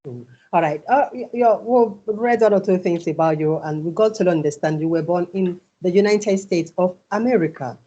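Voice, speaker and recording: Low, husky-voiced female interviewer. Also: moderate pace, warm tone. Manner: hesitant, repeats words while asking. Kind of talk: interview questions. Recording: dull lo-fi sound.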